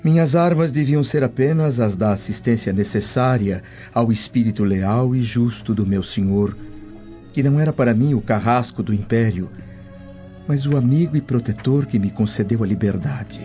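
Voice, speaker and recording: A man's voice: mid-range voice, moderate pace, mellow, natural voice. An actor playing a humble, cultured servant in a radio drama, formal and reverent in his speech. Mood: earnest, reverent. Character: humble, cultured male servant, earnest and dignified. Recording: dull lo-fi audio with music.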